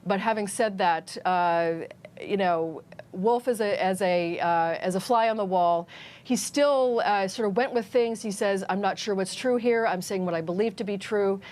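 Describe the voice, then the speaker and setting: A woman giving conversational news analysis as a correspondent, measured and thinking aloud as she weighs her points. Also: mid-range voice, darkish tone. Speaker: political correspondent, panel guest.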